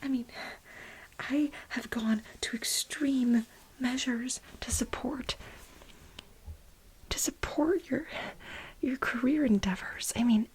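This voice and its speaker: A woman playing a frantic, aggrieved overworked bioengineer in a soft-spoken ASMR role play, with breathy whispering and halting, repeated words for dramatic stress. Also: high voice, heavily acted, rough recording. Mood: indignant, insistent. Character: frantic, aggrieved overworked female bioengineer pleading with her boss.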